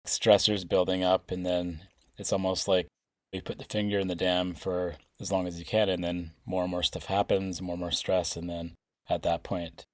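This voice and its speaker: A man's voice: deep voice, flat delivery, moderate pace, a little mellow, no echo, clean studio sound. A man hosting a podcast, asking thoughtful, reflective interview questions. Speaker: podcast host.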